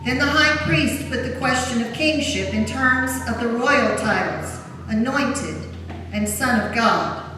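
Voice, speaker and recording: A woman's voice: on the high side, measured pace. A woman narrator giving a solemn, formal dramatic reading of scripture. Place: large church during a service. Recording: echoey church hall, distant, faint noise.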